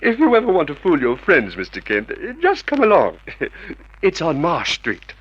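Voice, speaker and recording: An actor playing a giggly, teasing joke shop owner and husband in an old radio drama. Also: male voice, a little high, huge pitch swings, normal speed, slightly rough, heavily acted. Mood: gleeful, teasing. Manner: teasing, constantly giggling and chuckling. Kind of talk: mid-century radio drama acting. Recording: muffled vintage radio drama recording.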